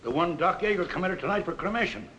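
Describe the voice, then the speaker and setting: A man's voice: high-pitched, expressive, fast talker, pushed and bright, dull audio. An American man acting a flustered, folksy old-hand morgue attendant in a 1940s film. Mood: flustered, defensive. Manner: folksy, ungrammatical. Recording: echoey room, faintly hissy old film.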